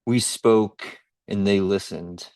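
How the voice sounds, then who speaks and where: Slow, deliberate man reciting poetry. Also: fairly low pitch, steady tone, darkish tone, clean tone, clean studio sound. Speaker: open mic poet. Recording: roomy echo, distant microphone.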